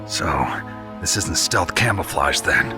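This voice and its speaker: An actor playing a gruff, cynical, battle-hardened covert soldier in a video game, terse and sardonic with a gravelly voice. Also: male voice, low-pitched, expressive, unhurried, music in the background. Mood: dry, gruff.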